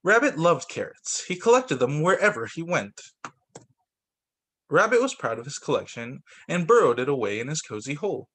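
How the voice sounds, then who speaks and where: Guy teacher doing story time, higher voice, really lively reading, chats about the pictures. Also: moderate pace. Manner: expressive, comments aloud on the pictures. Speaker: teacher reading aloud to young students. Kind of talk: picture-book read-aloud with off-the-cuff remarks.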